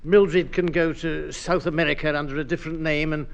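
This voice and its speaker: Fretful, highish-voiced British civil servant. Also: male voice, steady tone, brisk, creaky, lo-fi sound, a little hiss or hum. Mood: panicking. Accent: British English. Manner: fretful, hesitant. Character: anxious, flustered British civil service underling, easily panicked. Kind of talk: radio sitcom acting.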